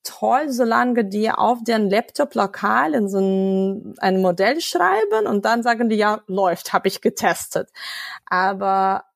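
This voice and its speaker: A woman's voice: mid-range voice, animated, a little slow, gravelly, no room sound, studio quality. A woman, a data science expert explaining at length as a podcast guest, with frequent fillers and restarts. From Ukraine. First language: Russian. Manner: thoughtful, many fillers and restarts. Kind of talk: podcast interview.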